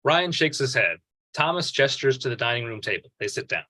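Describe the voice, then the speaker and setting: Bright-voiced man reading stage directions. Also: mid-range voice, very animated, a little fast. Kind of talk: scripted screenplay narration.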